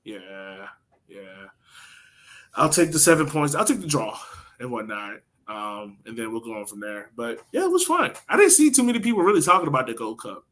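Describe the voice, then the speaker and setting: A man's voice: mid-range voice, very animated, normal speed, fairly bright tone. A man co-hosting a soccer podcast, talking in an informal, slangy way and telling stories casually. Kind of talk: casual sports chat.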